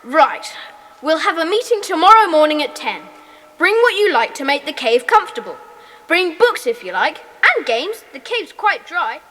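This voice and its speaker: A woman playing a bossy young schoolboy club leader in a children's audio drama, brisk and commanding. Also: high voice, sing-song, bright, forceful tone, heavily acted. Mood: organising, firm. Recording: long hall-like reverb on voice.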